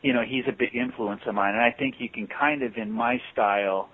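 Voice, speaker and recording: A man's voice: mid-range voice, very animated, a little fast, gravelly, husky. A man, a guitarist and singer in a band, answering interview questions at length with many restarts. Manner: thoughtful, rambling with restarts. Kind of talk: phone interview. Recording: tinny phone line.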